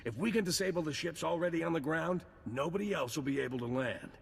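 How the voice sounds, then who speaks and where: A Republic officer, gravelly, matter-of-fact, long reverb. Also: male voice, on the high side, fast. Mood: businesslike. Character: male Republic officer, dutiful and practical. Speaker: military officer. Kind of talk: game voice acting.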